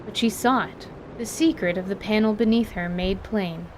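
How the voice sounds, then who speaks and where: A woman's voice: medium pitch, moderate pace. Woman narrating over noisy ambience. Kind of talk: scripted dramatic story narration.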